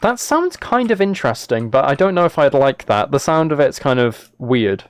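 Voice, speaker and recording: A man's voice: medium pitch, very animated, normal speed, smooth, dry recording. A British man chatting casually on a game livestream, talking to viewers in a mock-exasperated, self-deprecating way. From United Kingdom. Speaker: live streamer.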